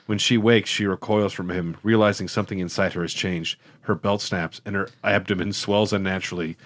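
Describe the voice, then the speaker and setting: A man's voice: low-pitched, steady tone, fairly quick. A man co-hosting a comedy podcast, mixing a read-out recap with crude, joking interjections. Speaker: podcast co-host. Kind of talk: casual comedic recap and banter.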